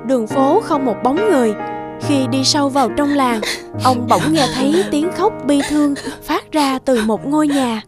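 High-voiced woman narrating fairy tales. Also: normal speed. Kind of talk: scripted fairy-tale narration. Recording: music behind the voice.

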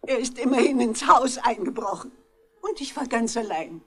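An elderly bourgeois lady in a 1960s German radio mystery. Her voice is on the high side. She comes across as genteel and fragile, yet proud and easily affronted. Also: animated, normal speed, husky. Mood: indignant. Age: older. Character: frail-seeming, indignant old bourgeois lady under suspicion. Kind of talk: 1960s German radio crime drama acting.

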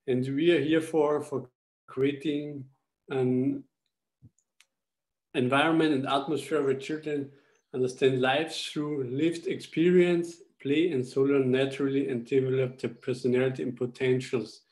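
A young man from Austria walks listeners through a slide presentation on a video call, his voice mellow and warm. He talks haltingly, often restarting phrases as he searches for the words. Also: medium pitch, fairly even intonation. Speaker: charity founder presenting a school project. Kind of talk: webinar presentation. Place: indoors at home. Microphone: laptop webcam video call.

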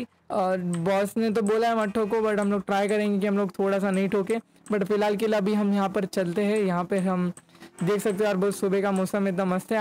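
A young man talks through his gameplay in a high voice. His delivery stays flat and even, chatty and casual, with English words dropped in. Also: a little hiss or hum. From India. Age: young adult. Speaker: gaming YouTuber. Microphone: desk microphone close to mouth.

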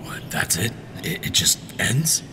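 A man's voice: unhurried, whispered, lightly acted. An actor playing an anxious, skeptical man in a fantasy audio drama, questioning and agitated in manner. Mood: disbelieving. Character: anxious, skeptical male companion monitoring dream connections.

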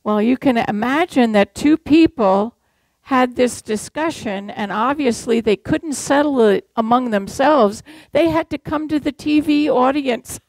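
A woman's voice: high-pitched, a little slow, a little mellow, no echo, low-quality recording. An older woman from Massachusetts preaching a sermon from a church pulpit, telling stories and asking the congregation rhetorical questions. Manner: conversational storytelling with rhetorical questions.

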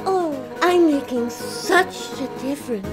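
A woman's voice: sits high, a little slow. A woman voicing a proud, hot-tempered monster warrior knight in a video game, with dramatic, menacing delivery. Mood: scornful. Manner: dramatic, menacing, forceful declamation. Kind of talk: fan-dub game voice acting. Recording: music behind.

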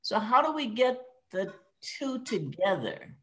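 Slow, deliberate elderly woman. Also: a little high, big pitch swings. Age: older, 70s or above. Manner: halting, deliberate, pauses to find words. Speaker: audience member asking a question. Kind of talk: careful, considered question. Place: bright indoor room on a video call.

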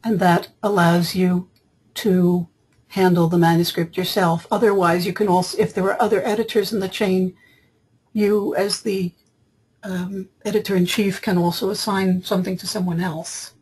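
A woman software trainer narrating a screen tutorial in an explanatory, step-by-step way, with a husky voice. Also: fairly low pitch, level tone, normal speed, poor audio. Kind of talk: screen-capture tutorial narration, semi-improvised. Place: voice-over for screen recording.

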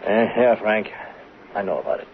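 An actor playing a patient, probing police lieutenant in a 1970s radio drama, with informal, unhurried questioning. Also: male voice, on the low side, lively intonation, creaky. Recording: dull, hissy old radio recording.

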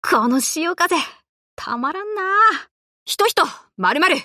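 Brash, high-pitched tomboy anime girl. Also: very animated, slow pace, bright, forceful tone, no room sound, studio quality, full character voice. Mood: breezy, casual. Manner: rough boyish slang, cocky and casual. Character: brash, tomboyish young warship girl, lively and slangy. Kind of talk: Japanese anime game voice lines.

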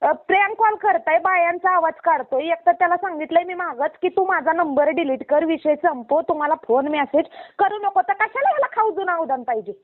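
A very high-pitched woman with a rural Maharashtrian Marathi accent quarrels over a tinny phone line. She scolds and taunts in blunt, informal speech, always challenging the other side. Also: expressive, brisk, few pauses. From Maharashtra, India. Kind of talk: heated informal phone argument. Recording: tinny narrowband phone call recording.

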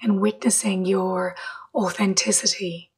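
A woman leading a guided meditation in a soft, breathy voice, gently, with long pauses between short phrases. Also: mid-range voice, fairly even intonation. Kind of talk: guided meditation, slow and measured.

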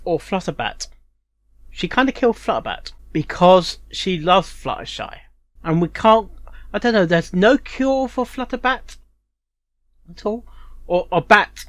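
Higher-pitched man giving halting commentary. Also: fairly bright tone, no room sound. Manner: halting, repeats and restarts phrases. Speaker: reaction channel host. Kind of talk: casual rambling commentary.

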